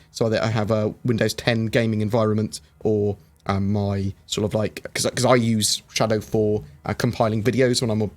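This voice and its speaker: Chatty man answering livestream chat. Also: fairly low pitch. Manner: chatty, reads viewer questions aloud and answers with many fillers. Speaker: streamer answering chat questions. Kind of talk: casual livestream Q&A.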